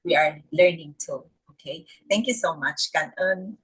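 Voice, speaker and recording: A woman's voice: sits low, a little slow, a bit bright, slightly rough, low-quality recording. A woman from the Philippines sharing a reflection on a video call, hesitant, with many "uh"s and a tag "no?". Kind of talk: casual spoken reflection.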